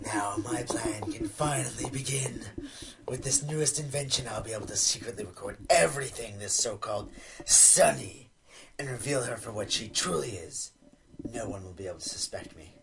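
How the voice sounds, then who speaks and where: An actor playing an excitable, self-important pony inventor who fancies being a spy, in a radio play with a theatrical delivery. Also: mid-range voice, moderate pace, gravelly, crowd noise, poor audio. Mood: gloating, scheming. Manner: theatrical, hesitant fillers amid boasting. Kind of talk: amateur radio play acting.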